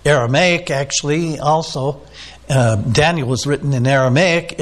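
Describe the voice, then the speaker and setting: A man preaching a sermon, teaching off the cuff with many "uh"s and questions to the listeners. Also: a little high. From United States. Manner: unscripted teaching with frequent fillers, asks the listeners questions. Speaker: pastor.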